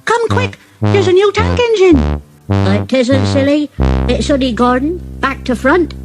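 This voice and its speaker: A man voicing excitable, cheeky little boys in a children's storybook. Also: a little slow, music in the background, exaggerated voice. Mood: excited. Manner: shrill, childish.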